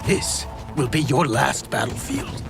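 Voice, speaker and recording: A man's voice: mid-range voice, unhurried, gravelly, music in the background. A man playing a scornful, hardened soldier in a video game, challenging and threatening his opponent. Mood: menacing scorn. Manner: challenging, menacing. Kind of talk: dramatic video game acting.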